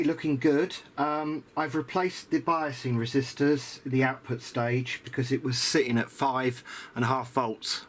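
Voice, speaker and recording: A man talks casually through hobby repair work at the bench, at a middling pitch. He muses and hesitates as he reasons things out aloud. The amateur recording carries audible background noise. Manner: hesitant, musing, thinking aloud. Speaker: hobbyist radio repairer presenting a restoration. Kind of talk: casual workbench commentary.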